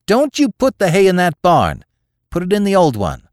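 Male narrator as resolute rural mother, homely, firm, swooping pitch, dry storybook recording. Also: animated, fairly quick, dry recording, natural voice. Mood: urgent, commanding. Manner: homely, firm, rustic grammar. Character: resolute middle-aged farm wife and mother, rural plain-spoken.

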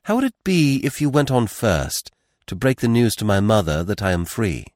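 A man's voice: fairly low pitch, very animated, moderate pace, a little mellow, dry recording, clean studio sound. A man voicing a fussy, dignified Belgian detective with a French accent and a precise, theatrical, philosophical manner, in a mystery audiobook. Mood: twinkling, agreeable.

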